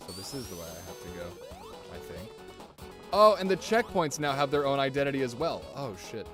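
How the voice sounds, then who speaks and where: Guy streaming a game, excitable and rambly, swears a lot, music playing underneath. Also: mid-range voice, brisk. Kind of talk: casual gameplay commentary.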